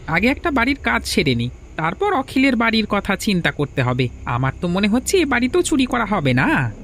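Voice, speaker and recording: A man's voice: high voice, big pitch swings, fairly quick, a bit bright, a little hiss or hum, exaggerated voice. A man voicing an earnest, insistent younger village man in a cartoon fairy tale, with emphatic delivery. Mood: impatient, sneaky.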